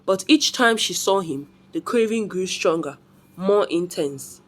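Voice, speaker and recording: A woman's voice: on the low side, animated, unhurried. A woman reading a scripted folktale as a storyteller.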